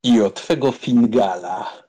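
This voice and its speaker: A man playing a nonchalant, cocksure young aristocratic womanizer in a dramatized audiobook, careless and teasing, with a husky voice. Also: medium pitch, slow pace, dark tone. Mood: cocky. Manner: careless, teasing, self-assured.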